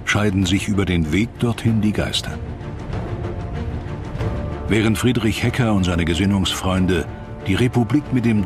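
A man narrating a scripted history documentary in a measured, dramatic storytelling manner. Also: on the low side. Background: music.

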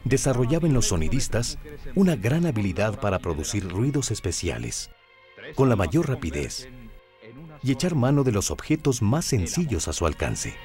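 A man's voice: medium pitch, sing-song, moderate pace, mellow. A man with a Mexican accent reading scripted documentary narration. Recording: music behind narration. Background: background music.

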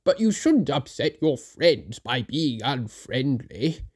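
A storybook narrator voicing a wise older engine who explains gently. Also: mid-range voice, very animated, slow pace, breathy edge, heavily acted. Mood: gentle.

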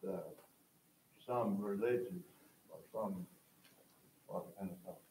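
A man with an Oklahoma accent, a class member, adding a halting, informative comment from the audience. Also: sits low, dark tone. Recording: distant in a roomy room, faint hum.

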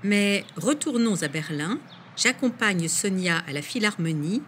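A woman's voice: medium pitch, expressive, a little slow, a bit bright, slight background noise. A woman presenter reading scripted documentary narration in French.

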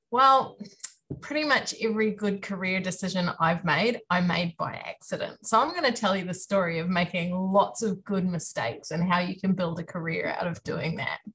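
A woman's voice: on the low side, a bit bright. A woman in her thirties with a New Zealand accent gives a friendly, self-deprecating career talk to students over a video call from a room at home. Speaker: university lecturer giving a career talk. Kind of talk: online presentation to students. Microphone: webcam on a video call.

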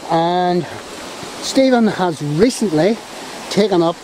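A Belfast man chats casually as a vlog host, taking his time with slow, hesitant phrases. He is outdoors in a wooded glen, with loud rushing water behind him. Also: sits high, expressive, slight background noise, rough recording. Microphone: handheld camera mic, behind the camera.

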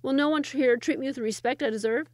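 A woman's voice: sits high, fast talker, dry recording, clean studio sound, pitched above the performer's own voice, lightly acted. A woman voicing a pompous, desperate middle-aged male sultan who pleads and protests indignantly.

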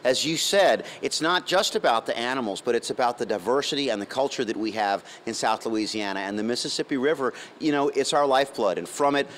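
A middle-aged man speaks with eager enthusiasm about the animals in his care. His voice sits at a medium pitch. A faint hum fills the indoor space behind him. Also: brisk, few pauses, fairly bright tone. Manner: enthusiastic, explanatory. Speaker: aquarium staff expert. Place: indoor aquarium exhibit. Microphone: reporter's handheld mic.

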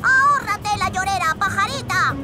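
Bossy kid ninja villain, cartoon dub, high n brash, shows off, music behind. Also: animated, normal speed. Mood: bossy, scornful. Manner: brash, commanding. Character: bossy, boastful little night ninja boy villain. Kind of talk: animated children's cartoon dub. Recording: music under the dialogue.

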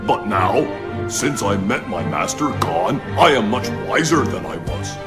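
A man's voice: fairly low pitch, big pitch swings, a little slow. A man voicing a reformed tough rhino in a dubbed cartoon, earnest, solemn and humble. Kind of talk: English-dubbed cartoon acting. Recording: very loud music behind.

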